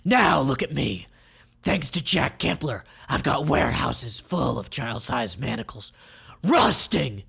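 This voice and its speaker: Man acting gravelly, angry villain tycoon. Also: medium pitch, very animated, a little slow, heavily acted. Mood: angry ranting. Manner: forceful, menacing, dramatic. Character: angry, vengeful male villain, ex child-labor tycoon.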